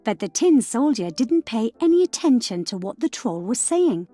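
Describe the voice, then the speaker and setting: A woman storyteller narrating a scripted fairy tale for children in a measured, expressive way. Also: fairly high pitch, faint music behind.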